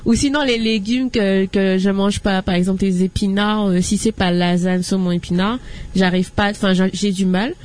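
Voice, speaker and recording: Female radio guest, medium pitch, casual and slangy delivery with a dramatic streak. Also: fairly even intonation, moderate pace, darkish tone, no room sound. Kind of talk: casual chat.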